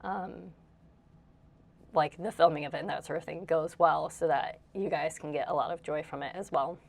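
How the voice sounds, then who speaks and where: A woman's voice: low-pitched, moderate pace, gravelly. A young woman, slightly congested, chatting casually and rambling as she narrates her house cleaning with frequent laughs. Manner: rambling, self-deprecating, laughs often. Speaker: cleaning vlogger.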